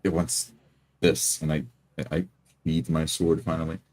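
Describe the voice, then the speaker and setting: Deep, mellow man roleplaying at a tabletop game. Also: little pitch movement, a little slow. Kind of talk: first-person improvised roleplay.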